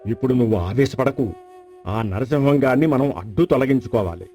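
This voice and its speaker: An actor playing a scheming rival landlord, the villain of a folk tale, insinuating and persuasive. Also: male voice, medium pitch, lively intonation, a little fast, warm tone, faint music behind, lightly acted. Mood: sly, persuasive. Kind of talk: dramatized folk tale acting.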